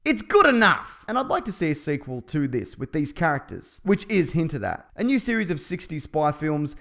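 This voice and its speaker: A man's voice: fairly high pitch, wildly swooping pitch, normal speed, sharp tone. A man hosting a scripted comedic film review, with sarcastic, dry humour and exaggerated delivery. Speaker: film reviewer, channel host.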